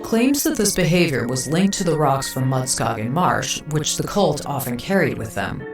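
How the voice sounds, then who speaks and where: A woman playing a solemn archivist, narrating a fictional audio encyclopedia in a measured, formal documentary manner. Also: low voice, sing-song. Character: solemn female archivist narrating an eerie small-town mystery. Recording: reverberant, distant, under music. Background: music.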